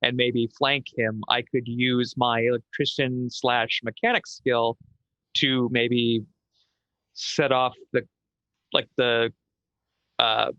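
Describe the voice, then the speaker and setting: A man's voice: mid-range voice, flat delivery, slow pace, pauses often, bright, forceful tone, no room sound, dull audio. A man playing a tabletop roleplaying game, chatting casually and tentatively as he thinks aloud.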